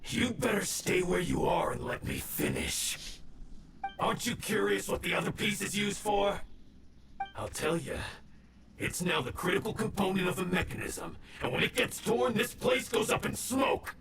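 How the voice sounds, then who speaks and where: A man's voice: high voice, big pitch swings, normal speed, creaky, roomy sound, far from the mic, soft background music, exaggerated voice. An actor playing a scheming male ronin gang boss who gloats and sneers. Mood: gloating. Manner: gloating, then panicked shouting.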